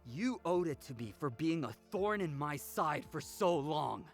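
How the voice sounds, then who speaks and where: A man's voice: fairly high pitch, expressive, a little slow, soft background music. A man playing a cold, imperious young man, haughty and theatrical in his contempt, in a visual novel. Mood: gloating, bitter.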